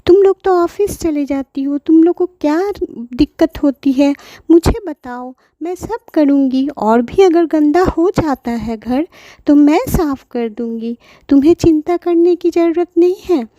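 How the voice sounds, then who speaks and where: Woman doing a high pleading old granny. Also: unhurried, dark tone, no room sound, dull audio. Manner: high, imploring, weepy. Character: elderly grandmother, pleading and tearful.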